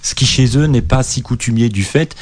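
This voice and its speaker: A man's voice: mid-range voice, normal speed, darkish tone. A middle-aged man from Alsace with an Alsatian accent, a guest singer answering questions in a radio interview, hesitant and full of restarts.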